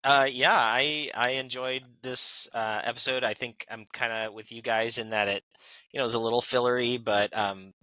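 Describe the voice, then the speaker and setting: A man with a low voice that sounds strongly bright and forceful talks easily and enthusiastically in a podcast chat. The sound is dry and close. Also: fairly even intonation, normal speed, no echo, poor audio. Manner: easygoing and enthusiastic. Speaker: podcast co-host. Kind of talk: casual review chat.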